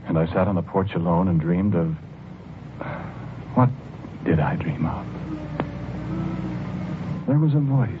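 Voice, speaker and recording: An actor playing a dreamy, timid husband obsessed with his garden, musing haltingly in the style of 1940s radio drama. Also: sits low, background noise. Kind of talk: 1940s radio drama acting, first-person narration and dialogue. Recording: muffled old radio recording, music behind.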